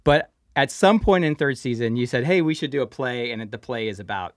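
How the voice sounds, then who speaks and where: A man speaks as a guest in a casual group discussion. His voice sits at a medium pitch, his phrasing is informal and a little halting, and the recording is dry. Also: lively intonation, no echo. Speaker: TV writer guest.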